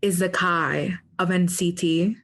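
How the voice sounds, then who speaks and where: A woman's voice: fairly low pitch, fairly even intonation, slow pace. A woman co-hosting a podcast in a casual, opinionated way, with sassy, slangy talk. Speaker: podcast co-host.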